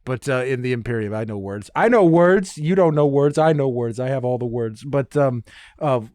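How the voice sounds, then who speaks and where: A man's voice: mid-range voice, moderate pace, no room sound. Casual, rambling man in a dry space. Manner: sweary, self-deprecating, animated nerdy enthusiasm. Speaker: podcast host. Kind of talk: casual, rambling solo chat.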